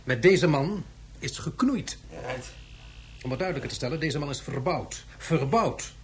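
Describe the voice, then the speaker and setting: A man playing a grave, principled scientist in a radio drama, formal, measured and emphatic. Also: a little high, animated, slight background noise. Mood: firm, disapproving. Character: grave, principled male scientist warning his superior.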